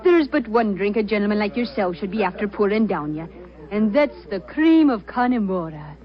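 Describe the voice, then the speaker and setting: An actress playing an effusive, flattering Irish barmaid with a colourful lilt, in 1940s radio drama style. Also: female voice, medium pitch, moderate pace, lightly acted. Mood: flattering, rhapsodic. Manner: colourful, lilting, deferential. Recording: muffled vintage 1940s radio broadcast.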